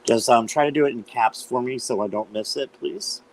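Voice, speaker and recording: A man with a slight cold and a hoarse voice, chatting casually as he hosts a live tarot stream and greets viewers by name. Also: medium pitch, moderate pace, low-quality recording.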